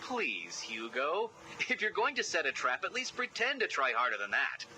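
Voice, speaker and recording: A man's voice: fairly high pitch, wildly swooping pitch, moderate pace, sharp tone, a little hiss or hum, exaggerated voice, low-quality recording. A man voicing an arrogant, narcissistic genius villain in a video game, with a smug, theatrical, mocking delivery. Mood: contemptuous, vengeful.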